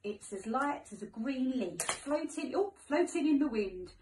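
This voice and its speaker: A British woman in her thirties, a teacher reading a picture book aloud to young children in an expressive, gentle way. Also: high-pitched, a little slow, noisy background. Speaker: primary school teacher. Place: living room, indoors. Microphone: phone camera a few metres away. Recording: echoey room, far from mic.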